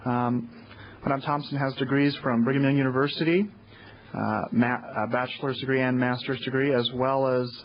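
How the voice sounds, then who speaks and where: Male host, native Portuguese speaker, halting formal intro, muffled lo-fi sound. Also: mid-range voice, steady tone. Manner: stumbling over words, self-correcting. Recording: dull, lo-fi lecture recording.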